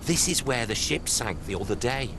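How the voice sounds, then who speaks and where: Male actor as irritable port worker, British accent, expressive swooping delivery, brusque, colloquial, defensive, video game drama, noisy outdoor backdrop. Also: mid-range voice, normal speed, close to an everyday voice. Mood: grudging. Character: gruff, irritable working-class dock worker, adult man. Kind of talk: video game cutscene acting.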